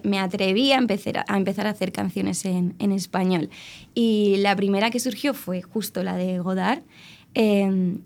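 Woman, young adult, from Alicante, music guest, casual interview, rambling, lots of fillers, middle pitch. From Spain, Alicante. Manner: chatty, rambling with many fillers and restarts.

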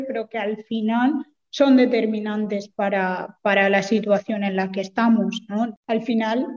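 A woman giving explanatory answers as a political interview guest, speaking in a measured, hesitant way with formal wording. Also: fairly high pitch, mellow. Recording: reverberant hall, muffled sound.

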